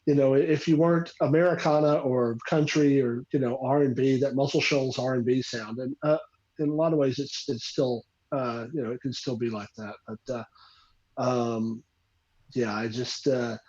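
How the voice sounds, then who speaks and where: Man from Alabama, husky, hesitant casual chat, muffled lo-fi call audio. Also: mid-range voice, fairly even intonation, normal speed, a little mellow. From North Alabama, USA. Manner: hesitant, many uhs and you-knows. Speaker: guitarist on a group video call. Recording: husky voice on a dull lo-fi call line.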